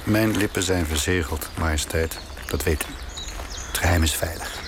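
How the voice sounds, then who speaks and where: A gravelly, secretive courtier. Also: male voice, deep voice, normal speed, noisy background. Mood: reassuring. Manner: hushed, reassuring, secretive. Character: discreet older male court adviser. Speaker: loyal courtier.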